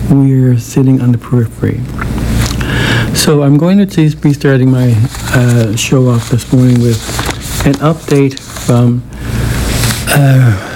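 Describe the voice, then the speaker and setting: Brief: male host who stutters, talks openly and reflectively, audible background noise. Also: medium pitch. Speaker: radio show host. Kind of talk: casual radio talk and poem reading.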